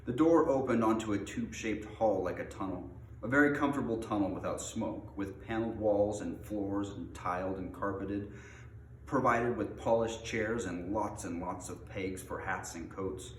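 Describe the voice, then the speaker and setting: A man's voice: fairly low pitch, normal speed. A man reading aloud in an informal, unpolished way, as the host of a read-aloud. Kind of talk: reading a storybook aloud with casual introduction. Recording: echoey room with faint noise.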